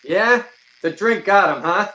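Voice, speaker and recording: A man's voice: high-pitched, lively intonation, unhurried, sharp tone, full character voice. A man playing a brash, spiteful gunman in a radio detective drama, curt, slangy and belligerent, in an echoey space. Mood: gloating spite. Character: brash, spiteful gunman henchman of a crime boss. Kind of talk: old time radio detective drama acting. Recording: echoey room, faint noise.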